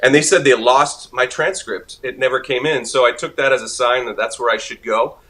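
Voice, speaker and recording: A man's voice: medium pitch, moderate pace, bright, forceful tone. A man from Montreal whose first language is French, chatting as an interview guest and laughing easily. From Montreal, Canada. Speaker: guest actor. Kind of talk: casual interview chat.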